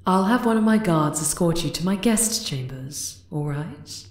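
A woman voicing a regal, affectionate drider queen in an ASMR roleplay, measured and gently commanding. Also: fairly low pitch, expressive, roomy sound. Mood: composed, reassuring.

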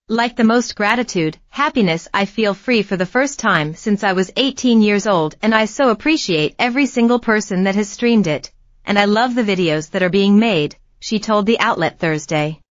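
A woman's voice: mid-range voice, dry recording. Woman reading celebrity news, dry and dull. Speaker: news narrator. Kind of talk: scripted celebrity news narration.

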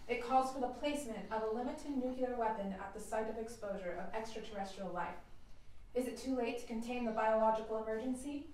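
A woman's voice: a little high, brisk, slight background noise. A woman reading scripted narration as the announcer of a radio drama. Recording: very echoey, distant, loud music over it.

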